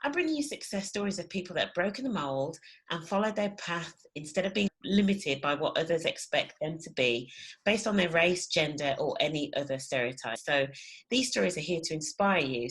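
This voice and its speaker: A woman's voice: deep voice, expressive, normal speed, husky, rough recording. A woman hosting her own interview channel, talking enthusiastically with lots of ums and "you know". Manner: warm, enthusiastic, filler-heavy. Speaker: interview host. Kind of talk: casual interview conversation.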